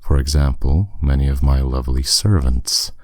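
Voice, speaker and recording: A man playing a powerful, courteous demon captor in an ASMR roleplay, speaking with smooth, teasing, condescending authority. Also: a bass voice, no room sound, clean studio sound. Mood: calm, matter-of-fact. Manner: smooth, condescending, unhurried, with endearments.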